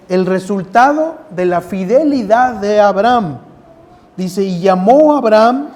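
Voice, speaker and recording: A man's voice: high-pitched, darkish tone, a little hiss or hum. A man preaching a sermon in a conversational way, repeating phrases for emphasis. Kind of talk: sermon with scripture reading.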